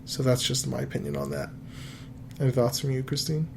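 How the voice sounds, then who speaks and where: A man in his early thirties hosts a casual money podcast at a middle pitch. He talks in a loose, rambling way and keeps restarting his sentences. A faint hiss sits behind his voice. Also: a little fast, gravelly. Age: 30s. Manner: relaxed, rambling, with frequent fillers and restarts. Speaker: podcast host giving financial advice.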